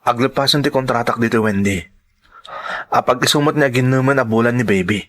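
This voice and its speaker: A man plays an earnest lover in an emotional audio drama. He speaks slowly and pleadingly, in a middle-pitched voice, as he tries to part from the one he loves. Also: a bit bright, lightly acted. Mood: tender, serious. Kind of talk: Ilocano radio-style drama acting.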